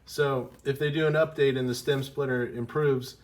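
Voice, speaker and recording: A man's voice: medium pitch, steady tone. A man giving an informal music tutorial, thinking aloud and often interrupting himself as he explains. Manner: halting, self-interrupting, explanatory. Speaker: music teacher, video host.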